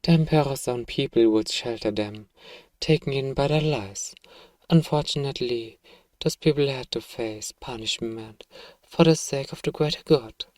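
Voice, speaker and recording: A man playing a self-righteous evil emperor, softly and coaxingly telling a bedtime story to a child in an ASMR roleplay. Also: medium pitch, normal speed, dry recording, lightly acted. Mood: smug soothing.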